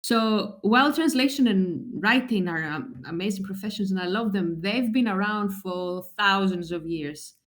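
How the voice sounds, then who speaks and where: A woman from Greece, a translator and writer, answers interview questions in a lively, chatty way. Her voice sits at a middling pitch for a woman, and she restarts sentences and laughs as she goes. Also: normal speed, few pauses. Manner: animated, talks with fillers and restarts, laughs often. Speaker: guest, translator and travel writer. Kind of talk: conversational interview.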